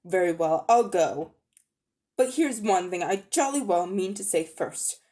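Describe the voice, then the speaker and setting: A woman voicing a brave, hot-tempered schoolboy in a dramatic storybook reading, speaking bluntly and forcefully. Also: on the low side, lively intonation, a little slow. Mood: defiant. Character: indignant, brave, outspoken English schoolboy.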